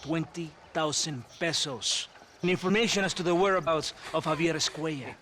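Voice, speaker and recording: An actor playing a sly, pompous, lecherous Mexican army captain in a video game, with a theatrical Mexican accent. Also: male voice, fairly high pitch, moderate pace. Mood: pompous, formal. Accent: Mexican Spanish. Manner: oily, theatrical. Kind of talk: video game cutscene dialogue. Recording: game audio with loud background noise.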